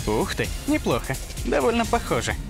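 A man's voice: high voice, huge pitch swings, moderate pace, vocal fry, over music, full character voice. A man playing a scheming, impatient and demanding villain boss in a cartoon dub. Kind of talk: animated series dub.

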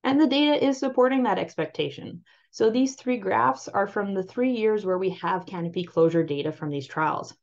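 Woman explaining soybean trial slides. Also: on the low side. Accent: Canadian. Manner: clear, explanatory, steady delivery. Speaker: agronomy researcher presenting trial results. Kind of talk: slide presentation walkthrough. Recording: slightly muffled voice-over.

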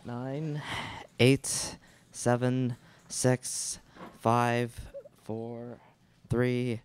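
Male event announcer, really slow delivery, lots of noise and clicks. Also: medium pitch, little pitch movement. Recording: loud background noise and clicks.

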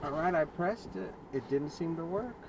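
A man's voice: fairly high pitch, animated, normal speed, vocal fry. A man, a delivery driver, narrating to his viewers while he drives, muttering in exasperation about his troubles. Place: inside a car. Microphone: dash cam in the car. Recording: car noise behind.

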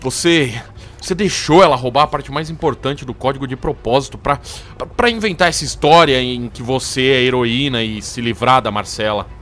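A man's voice: mid-range voice, normal speed, fairly bright tone, close to an everyday voice. A man playing a distraught, accusing programmer in an audio drama, agitated, stammering and sweary. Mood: bitter, accusing. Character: hot-tempered, accusing male programmer, distraught and cornered. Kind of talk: scripted audio drama acting.

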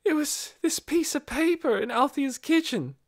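A woman's voice: high voice, expressive, normal speed, voice pushed up. A woman voicing a guilty, wounded teenage girl in an audiobook, plaintive and pleading, with folksy, uneducated grammar. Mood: remorseful.

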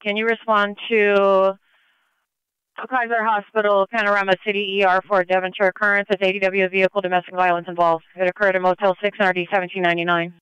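High, very clear male police dispatcher. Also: little pitch movement, fast talker, dry recording. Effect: police radio. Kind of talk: clipped radio dispatch. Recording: dull police scanner audio.

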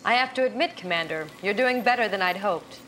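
An actress playing a brisk, stern military officer giving mission briefings in a video game. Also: female voice, medium pitch, expressive, fairly bright tone, faint music behind, natural voice, low-quality recording. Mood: grudging approval. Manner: firm, crisp briefing. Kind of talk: video game cutscene acting. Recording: faint ambient noise behind.

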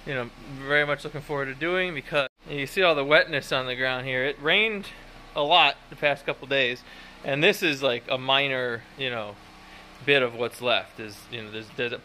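A man's voice: medium pitch, pushed and bright, slight background noise. A man in his thirties, talking casually and rambling a bit with fillers and self-deprecating asides as he films his house build outdoors on a phone at arm's length. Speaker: home builder vlogger. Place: outdoor construction site in woods. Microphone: phone held at arm's length.

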